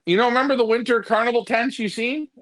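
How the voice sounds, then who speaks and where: A man's voice: very high-pitched, fast talker, a bit bright, dry recording. A man co-hosting a pigeon racing show, chatting casually and telling stories as he goes. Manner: rambling storyteller, puts on voices in quoted talk. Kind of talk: casual chat.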